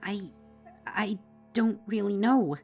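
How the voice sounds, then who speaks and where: A woman voicing a high-voiced young female pony who stammers, panicky and out of breath. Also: slow, vocal fry, a little mellow, slightly rough, heavily acted. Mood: shaken. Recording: music behind.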